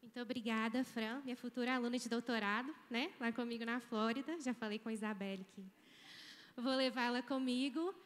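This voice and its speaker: A woman in her thirties with a Mineiro Brazilian accent speaks in a high voice, chatty and informal, often tossing in tag questions. She is giving a keynote on a handheld microphone. The sound carries a long reverb from a large auditorium. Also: fairly even intonation, brisk. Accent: Mineiro Brazilian Portuguese. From Brazil, Minas Gerais. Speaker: guest speaker, nursing professor. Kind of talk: conference keynote talk. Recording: hall reverb.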